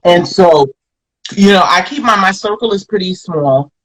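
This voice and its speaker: A woman's voice: lowish voice, moderate pace, rough recording. A woman comedian from Brooklyn with an American accent, telling stories in a rambling, reflective way full of restarts in a casual interview. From Flatbush, Brooklyn, New York. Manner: rambling, reflective, frequent restarts and "you know".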